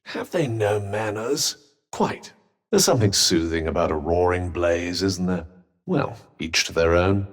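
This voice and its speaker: A man's voice: sits low, lively intonation, a little slow, big-room sound. A man with a British accent voicing a haughty, sardonic magical cuff companion in a video game, with pompous, droll, formal delivery. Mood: disdainful, droll.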